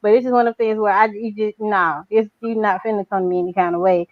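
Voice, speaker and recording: A woman's voice: mid-range voice, steady tone, quick pace, no room sound. Chatty woman in a dull, dry recording. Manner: talks in long run-on stretches with many fillers; says her natural voice is very quiet but trains herself to speak louder. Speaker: guest. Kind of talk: casual chat.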